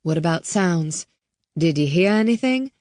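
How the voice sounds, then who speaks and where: A policewoman and mother, keeping her voice low and steady while urgency pushes through, read by a woman narrator. Her tone sits on the lower side, and the sound is dry and close. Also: unhurried, no room sound. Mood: urgent calm. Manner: urgent, forcing calm.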